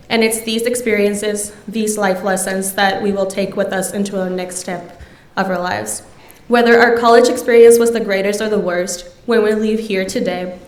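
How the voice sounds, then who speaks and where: Young lady doing a grad speech, echoey hall mic. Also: mid-range voice. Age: young adult. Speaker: graduating student speaker. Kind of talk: prepared commencement speech. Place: large auditorium stage. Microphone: podium microphone. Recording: echoey hall, podium microphone.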